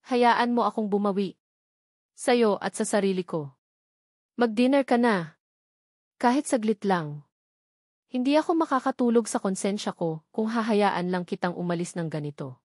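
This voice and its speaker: A woman's voice: medium pitch, normal speed, pauses often, darkish tone, dry recording, studio quality, close to an everyday voice. A woman storyteller voicing an earnest, apologetic rich young man in a romance story, pleading and sincere.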